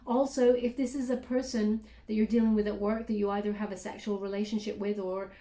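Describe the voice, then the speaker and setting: A woman's voice: medium pitch, flat delivery, brisk, dark tone, roomy sound. A woman giving a casual tarot reading, chatty and full of fillers, often checking in with "okay?". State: clearing throat, voice catching. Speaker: tarot reader.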